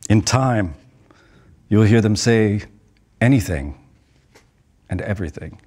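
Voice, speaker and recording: A man performing a poetic dramatic monologue as a stage actor, in a measured, pensive, theatrical way with deliberate pauses. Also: mid-range voice, a little mellow.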